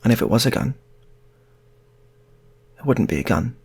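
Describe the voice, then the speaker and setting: A man telling a story, voicing a stubborn, delusional man who speaks in terse, flat denials. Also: fairly low pitch, moderate pace, creaky, slightly rough, full character voice. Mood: evasive.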